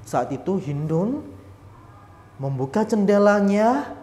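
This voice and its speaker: A woman tells a story to children in an animated way, often turning to speak to her young listeners. Her pitch rises and falls a lot. The recording has a long, roomy hall reverb. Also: slow pace, a little hiss or hum.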